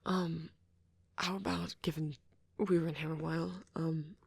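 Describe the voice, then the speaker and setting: A woman playing a shy, nervous vampire boy in a soft-spoken ASMR roleplay, with a hesitant, rambling, stammering delivery and a husky voice. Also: sits low, little pitch movement, measured pace, no echo, heavily acted. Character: shy, nervous young male vampire on a date.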